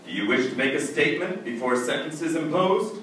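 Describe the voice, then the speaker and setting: A stern, unbending male judge played by an actor speaks in formal radio-drama style. The recording is heavily echoing and distant, with clear noise throughout. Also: on the high side, lively intonation, a little fast, fairly bright tone. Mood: stern, commanding. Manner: formal, declamatory. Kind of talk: old-time radio drama acting and narration. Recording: very echoey, distant, noisy stage recording.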